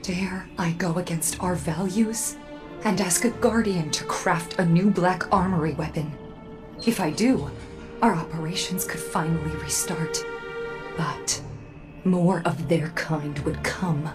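Woman as a stern, slow-spoken android armorer. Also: lowish voice, close to an everyday voice. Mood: guarded, stern. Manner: formal, cold, measured. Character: Ada-1, stern, guarded female android armorer. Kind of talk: video game character dialogue. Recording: music under the voice.

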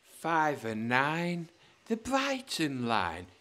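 A cheery bingo caller from a radio pantomime, as a man acts him out, chanting his calls in a sing-song way. His pitch swoops hugely from word to word. He drags each call out very slowly. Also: huge pitch swings, pushed and bright, full character voice.

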